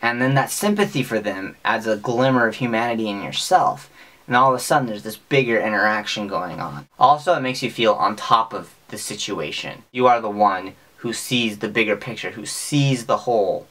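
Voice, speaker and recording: A young man with an American accent talking casually to camera in a deadpan, mock-serious, sweary comic monologue, recorded in a small bedroom. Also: lowish voice, normal speed. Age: young adult. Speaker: vlogger. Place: small bedroom with bunk bed, indoors.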